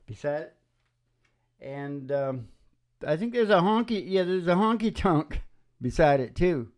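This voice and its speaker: A man's voice: fairly high pitch, expressive, measured pace. A man hosting a live radio show with rambling, folksy, playful chat, often repeating his phrases. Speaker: radio show host and singer. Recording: guitar music behind the voice. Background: acoustic guitar.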